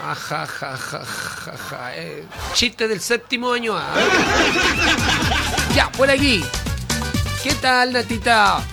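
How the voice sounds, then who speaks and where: A man's voice: high-pitched, lively intonation, slow, creaky, pauses often. A Chilean man hosting a call-in radio show, playful and teasing, laughing often. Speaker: radio host. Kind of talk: lively call-in radio chat. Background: music.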